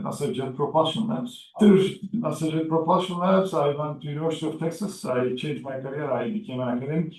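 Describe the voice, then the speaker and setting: A middle-aged man from Turkey, recounting his career matter-of-factly with frequent hesitations. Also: fairly high pitch. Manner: matter-of-fact, frequent "uh" fillers. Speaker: oral history interviewee. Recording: echoey room, distant mic.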